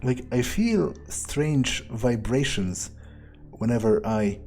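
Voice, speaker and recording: A man's voice: mid-range voice, lively intonation, slow pace, mellow, soft background music. A man roleplaying his way through a video game, thinking aloud in character with a musing, sardonic, sometimes profane manner.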